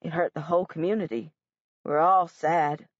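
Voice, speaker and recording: Woman voicing a soft-spoken sad male teacher. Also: low voice, steady tone, no echo, dull audio, voice pushed down. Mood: gentle sad. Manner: soft, slow, sorrowful. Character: gentle middle-aged male art teacher, sad.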